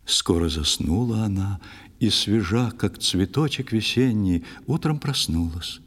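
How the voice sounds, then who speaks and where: A man playing an old fisherman who recounts a foundling's story in unhurried, wondering verse, in an audio drama. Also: fairly low pitch, expressive, slightly rough, big-room sound. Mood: puzzled, reminiscing. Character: old fisherman telling of his mysterious foundling daughter.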